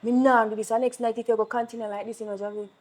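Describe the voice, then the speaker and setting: A woman's voice: fairly high pitch, level tone, rapid-fire, darkish tone, natural voice. An actress playing a worried young woman with a Jamaican accent, insistently reproaching and pleading with her wayward brother. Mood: stern, worried.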